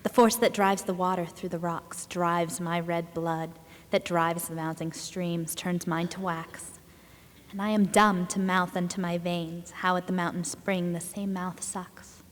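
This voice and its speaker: A woman giving a solemn, deliberate dramatic recitation of poetry. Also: fairly low pitch, level tone. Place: large indoor hall. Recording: reverberant hall.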